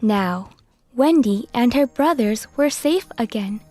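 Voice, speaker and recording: A woman's voice: a little high, expressive, slow pace, darkish tone, faint music behind. A woman narrating a scripted children's story as a storyteller.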